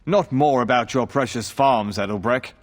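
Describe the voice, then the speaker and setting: A man's voice: medium pitch, moderate pace, close to an everyday voice. An actor playing a dismissive, scornful lord in a fantasy game, with long reverb on his voice. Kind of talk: scripted fantasy game dialogue.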